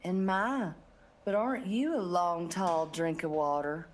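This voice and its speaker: A woman's voice: lowish voice, unhurried, a little hiss or hum, close to an everyday voice. A woman playing a flirty, ditzy, put-upon bakery bookkeeper in a comedic audio drama, coy in manner.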